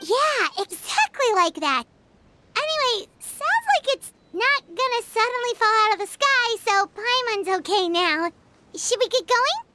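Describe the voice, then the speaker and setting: A woman voicing a tiny, excitable, childlike fairy companion with a squeaky voice in a video game. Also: a little slow, slight background noise, full character voice. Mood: chatty. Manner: speaks of self in third person, expressive. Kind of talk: English video game voice acting. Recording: loud music behind the voice.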